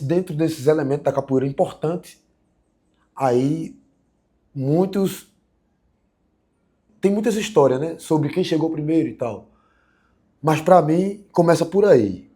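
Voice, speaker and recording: A man speaks as a capoeira teacher in an interview. His talk is reflective and halting, with phrases repeated and stacked into lists as he works out his thought. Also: a little high, warm tone, slightly rough.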